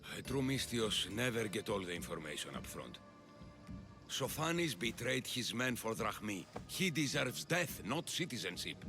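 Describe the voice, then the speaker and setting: Grudging, low-voiced Athenian. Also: male voice, sing-song, normal speed, over music. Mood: scornful. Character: vain, grudging Athenian man in debt. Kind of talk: video game cutscene acting.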